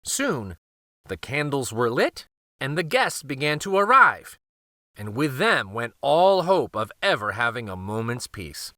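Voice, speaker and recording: A man narrating a children's storybook aloud, expressive and careful, with dramatic pauses. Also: medium pitch, no room sound, studio quality.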